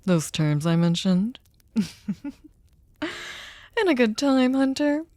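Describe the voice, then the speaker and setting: A woman voicing a sadistic, possessive vampire hostess in an ASMR roleplay, silky, courtly and teasing, often slipping into breathy whispers. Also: a little high, sing-song, takes it slowly, dark tone, no room sound. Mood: playful, coaxing. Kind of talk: scripted ASMR roleplay, intimate dramatic monologue.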